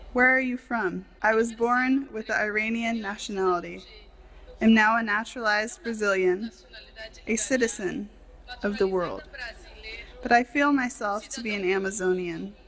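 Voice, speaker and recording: A woman reading a translated tribute and speech as a voice-over, in measured, formal phrasing with short clauses. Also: mid-range voice, fairly bright tone, rough recording.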